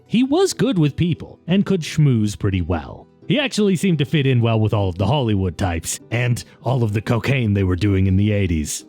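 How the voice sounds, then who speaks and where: A man's voice: medium pitch, warm tone. A man reading scripted documentary narration as the narrator of a video essay.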